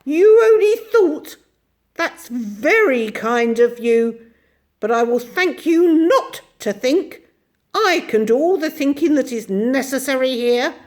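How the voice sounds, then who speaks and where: A woman voicing a tiny, ancient, bossy old shopkeeper with a shrill, squeaky voice that swings between sweetness and soft menace. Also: animated, unhurried. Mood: menacing.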